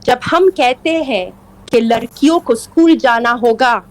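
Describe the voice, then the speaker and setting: A woman from Afghanistan, a native Persian speaker, in a live political discussion, speaking earnestly and haltingly as she searches for words. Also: fairly high pitch. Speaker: interview guest.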